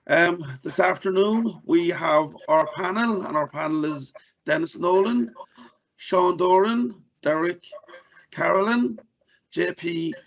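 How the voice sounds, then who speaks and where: An Irish man hosting a podcast in a casual, halting way, often restarting his sentences. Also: sits high, vocal fry, a bit bright. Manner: halting, with many restarts and fillers. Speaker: podcast host. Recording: dull, lo-fi audio.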